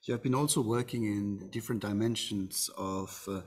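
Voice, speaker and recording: Guy moderating a video panel, low husky voice, lots of uh's. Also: steady tone, normal speed. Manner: hesitant, many fillers. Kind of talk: online panel discussion.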